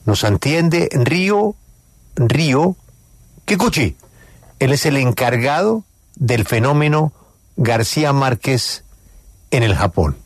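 A man's voice: mid-range voice, expressive, takes it slowly, long pauses, no room sound. A man hosting a live radio interview, speaking emphatically and repeating key phrases.

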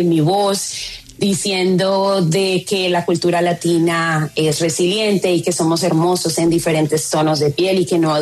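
A Colombian woman, a content creator guest in an interview, telling her story in an animated and emphatic way. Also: fairly low pitch.

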